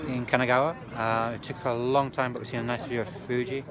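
A man's voice: mid-range voice, level tone, fairly quick. A young British man in his twenties chatting casually and informally to a phone held at arm's length outdoors. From Britain. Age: 20s. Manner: informal, says "like" often. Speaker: vlogger. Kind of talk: casual travel vlog chat. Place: outdoors, station and ski slope. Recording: noisy outdoor phone recording.

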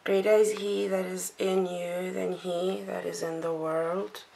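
A woman's voice: on the low side, level tone, measured pace, creaky. A young woman, vlogging casually to a handheld camera in her room, chatty and giggly, slipping in isiXhosa words. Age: young adult. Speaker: vlogger, university student. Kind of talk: casual vlog chat to camera. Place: student bedroom and outdoors. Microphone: camera held at arm's length. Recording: noisy handheld camera audio.

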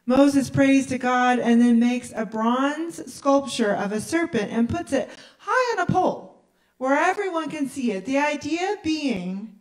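A middle-aged woman pastor preaching a sermon in a large church sanctuary, speaking slowly and deliberately into a handheld mic. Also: a little high, a little mellow, recorded from a distance, low-quality recording. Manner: slow, deliberate preaching with emphatic pauses. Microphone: handheld mic, filmed by far camera.

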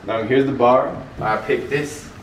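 A young man giving a casual tour of his house, talking in a relaxed, filler-heavy way and laughing often. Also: medium pitch, normal speed, recorded from a distance. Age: young adult. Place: large house with game room, indoors. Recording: roomy, off-mic with faint room noise.

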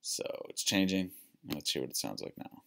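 A man giving a casual, plain-spoken, step-by-step software tutorial as a teacher. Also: sits low, lively intonation, brisk, vocal fry, a little hiss or hum.

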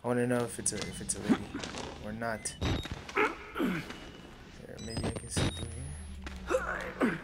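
A man's voice: mid-range voice, huge pitch swings, measured pace, long pauses, heavy background noise. A young American man giving casual, slangy, profane commentary as he plays a game at home. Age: young adult. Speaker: gamer. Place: home room. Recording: loud game audio behind voice. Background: loud horror game music.

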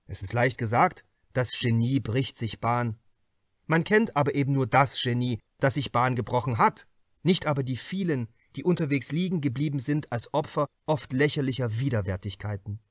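A man voicing an earnest, self-assured young writer on trial in an audiobook, measured and articulate. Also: mid-range voice, dry recording, studio quality, natural voice. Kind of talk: acted audiobook reading.

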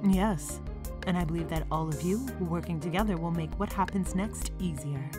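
A woman's voice: on the low side, moderate pace, natural voice. A woman playing a confident, challenging mentor in a superhero comic dub, with music underneath. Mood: cool, assured. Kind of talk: acted comic dub dialogue.

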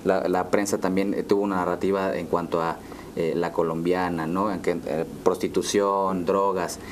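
Mexican man, thirties, co-host interviewer. Low pitch, conversational, frequent tag questions, faint noise behind. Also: flat delivery, normal speed. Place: dark TV studio, round table. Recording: clear background room noise.

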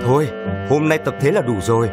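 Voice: mid-range voice, fairly quick, close to an everyday voice. An actor voicing a kindly, fatherly adult bird in a Vietnamese cartoon, patient and encouraging as a teacher. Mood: instructing. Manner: gentle, instructive, encouraging. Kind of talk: Vietnamese animated film dialogue. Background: music.

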